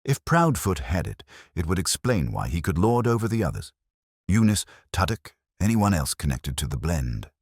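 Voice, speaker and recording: A man voicing a mild, dry-witted police inspector who speaks in a calm, measured, wry way, recorded close on a studio mic. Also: deep voice, husky, no echo, full character voice.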